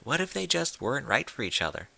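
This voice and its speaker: Dude doing a tomboy girl pony in a story, blunt n guarded, awkward, bright voice, real dry sound. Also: mid-range voice, very animated, quick pace, creaky, dry recording, heavily acted. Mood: reluctant, awkward. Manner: blunt, hesitant, casual.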